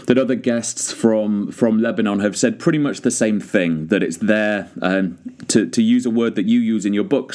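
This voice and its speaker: A man hosting an interview, asking polite, unhurried questions of his guest. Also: fairly low pitch, a little mellow. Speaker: podcast host, interviewer. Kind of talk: conversational interview.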